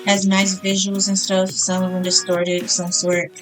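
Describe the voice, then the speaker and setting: A woman's voice: mid-range voice, completely flat delivery, unhurried, rough recording. Informal woman reading a game aloud over music. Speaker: gaming video host. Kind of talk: reading visual novel text aloud with casual reactions. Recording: music behind the voice.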